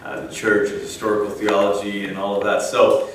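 A man's voice: lowish voice, completely flat delivery, a little fast, slight background noise. A man from Malaysia in his thirties giving a personal testimony talk, conversational and full of fillers and restarts. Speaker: guest speaker. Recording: echoey hall from a distance.

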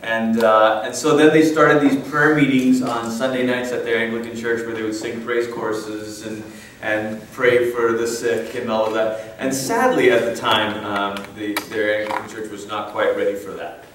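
A man's voice: on the low side, little pitch movement, moderate pace, hardly any pauses, fairly bright tone, a little hiss or hum. A man from Malaysia in his thirties giving a personal testimony talk, conversational and full of fillers and restarts. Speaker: guest speaker. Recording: echoey hall from a distance.

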